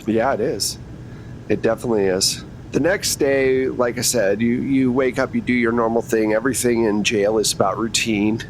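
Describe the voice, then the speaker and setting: A man with a mid-pitched voice acts as game master. He narrates casually and conversationally, with a low level of noise behind him. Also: steady tone, normal speed, rough recording. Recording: faint rumbling background noise.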